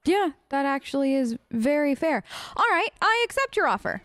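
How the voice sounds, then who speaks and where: A woman's voice: high-pitched, very animated, moderate pace, fairly bright tone, no echo. A woman improvising casual in-character replies as a let's play host, chatty, informal and a bit rambling. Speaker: let's play host role-playing her game character.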